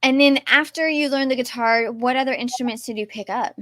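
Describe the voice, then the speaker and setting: A woman's voice: a little high, brisk, a bit bright, dry recording. A woman with a Texas accent hosting a podcast, reading a scripted intro and then asking questions. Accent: Texan. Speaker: podcast host. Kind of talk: podcast interview.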